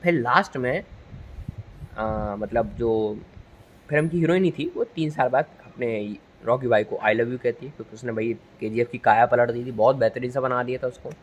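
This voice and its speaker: A man's voice: mid-range voice, normal speed. A young man gives a casual, rambling and jokey spoken recap of a film, acting out bits as he tells it. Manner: jokey, rambling storyteller, acts out dialogue. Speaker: movie reviewer. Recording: noisy ambient location recording.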